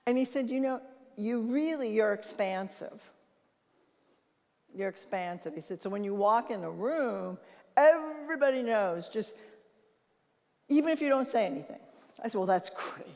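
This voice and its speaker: An older woman with a naturally loud voice gives an informal conference talk, telling anecdotes and acting out bits of conversation. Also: a little high, expressive, normal speed, pauses often. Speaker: conference speaker and leadership consultant. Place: large reverberant conference hall. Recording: reverberant hall sound.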